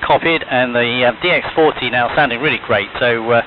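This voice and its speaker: Hesitant ham operator through radio hiss. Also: male voice, medium pitch, fairly quick, phone-quality sound. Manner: hesitant, full of ums, frequent throat clearing. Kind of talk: casual on-air radio chat. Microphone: radio transceiver mic heard over the air. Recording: noisy, band-limited shortwave radio hiss.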